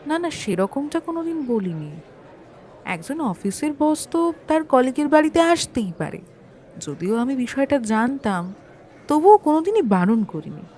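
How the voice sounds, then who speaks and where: A woman's voice: high-pitched, big pitch swings, moderate pace, dark tone. An actress playing a landlady testifying in a courtroom audio drama, chatty, hesitant and a little defensive about her propriety. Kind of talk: Bengali audio-story courtroom acting.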